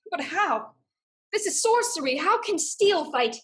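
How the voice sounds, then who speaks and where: A woman's voice: extremely high pitch, lively intonation, unhurried. A woman playing a fierce, defiant pirate swordfighter in a staged play reading, tough and terse. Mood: bewildered.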